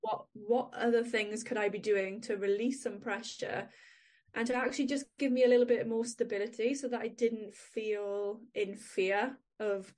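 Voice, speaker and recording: A woman's voice: medium pitch, fairly even intonation, normal speed, a little mellow. A young adult woman with a Welsh accent, talking candidly and chattily as a podcast co-host, laughing often. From Wales. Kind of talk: casual chat.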